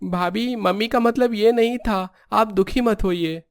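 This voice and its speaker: Cheerful, supportive young brother-in-law, voiced by a man, very high for a male, dry recording, storybook drama. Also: measured pace, dry recording. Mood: soothing. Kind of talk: dramatized moral story acting.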